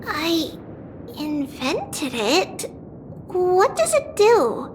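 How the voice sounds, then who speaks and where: A woman voicing an excitable, boastful little girl in an anime-style game, with a childlike, eager delivery. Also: very high voice, drawn-out words, big-room sound, slight background noise, heavily acted. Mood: uncertain. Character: hyper, boastful little girl, a holy maiden.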